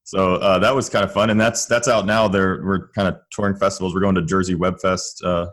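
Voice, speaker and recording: Male podcast guest, low register, casual and jokey delivery. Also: level tone, fairly quick, a bit bright. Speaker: podcast guest, comedy writer. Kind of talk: casual interview chat.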